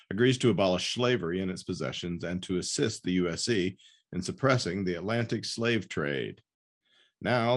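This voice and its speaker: A man's voice: deep voice, breathy edge. A man hosting a podcast, moving between scripted announcements and casual interview talk. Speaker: podcast host.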